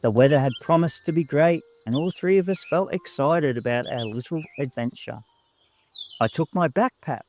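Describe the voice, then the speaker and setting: A man reading a first-person story as an audiobook narrator, in an expressive storybook style. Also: fairly high pitch, normal speed, a little hiss or hum.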